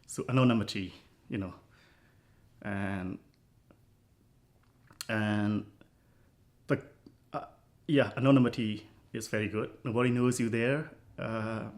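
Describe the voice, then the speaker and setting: A man from Myanmar, a poet giving thoughtful, halting interview answers with many pauses and restarts. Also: fairly low pitch. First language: Burmese.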